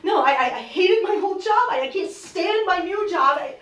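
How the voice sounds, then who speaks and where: A woman acting a weary, despairing young woman of twenty-three in a stage play, speaking in halting, stammering bursts. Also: extremely high pitch, expressive, fairly bright tone. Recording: roomy stage sound from far off.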